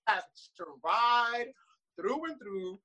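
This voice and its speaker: A man with a Puerto Rican accent, a drag queen guest chatting in an interview with a sassy, animated manner. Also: high-pitched, extremely slow, highs cut off. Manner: sassy, animated, swears freely. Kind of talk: casual interview chat.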